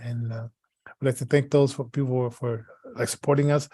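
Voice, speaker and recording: A man hosting a casual radio talk show, speaking haltingly and often repeating words and phrases as he goes. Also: mid-range voice, fairly even intonation, warm tone, dry recording.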